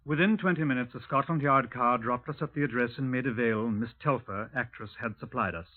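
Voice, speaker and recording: An actor playing a brisk Scotland Yard sergeant in a 1950s radio drama, formal, matter-of-fact and deadpan. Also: male voice, mid-range voice, phone-quality sound, lightly acted. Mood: deadpan narration. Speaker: detective and narrator. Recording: thin, band-limited 1950s radio recording.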